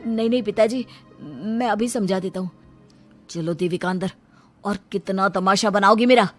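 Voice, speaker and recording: A woman's voice: medium pitch, normal speed, soft background music, close to an everyday voice. A woman voicing a young village husband, pleading yet controlling, who remonstrates and placates in a moral story. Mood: exasperated.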